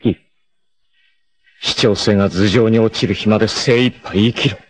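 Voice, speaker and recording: A man voicing a proud young warrior in an anime, making solemn, defiant declarations in a low, intense voice with sudden high battle shouts. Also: steady tone, normal speed, faint music behind, natural voice. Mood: dying farewell. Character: proud long-haired young male warrior, grim and devoted. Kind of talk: 1980s anime dub acting. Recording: noisy old TV soundtrack.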